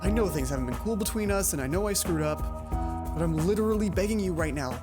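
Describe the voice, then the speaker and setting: A man's voice: sits high, lively intonation, fast talker, over loud music. A man voicing a young male student in a game, pleading earnestly and urgently for a secret to be kept. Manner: earnest, begging, breathless urgency.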